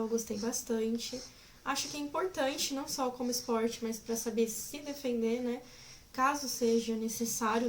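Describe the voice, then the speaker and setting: A woman's voice: fairly high pitch, a little mellow, a little hiss or hum. A woman in her twenties chatting casually to camera as a vlogger, giggly and rambling. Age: 20s.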